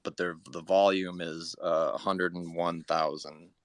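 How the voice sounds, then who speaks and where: A man explaining things in a halting, matter-of-fact way in a casual live audio chat. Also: sits low, flat delivery, fairly bright tone, no echo, studio quality. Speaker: participant reporting figures.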